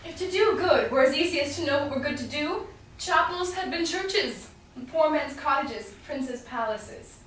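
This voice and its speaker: A witty young heiress of Shakespearean drama played by a woman, world-weary and high in pitch. She is heard from a distance in a very echoey room, in a staged reading with faint noise. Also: expressive, normal speed, fairly bright tone. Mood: weary, wry. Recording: roomy echo, distant mic, faint noise.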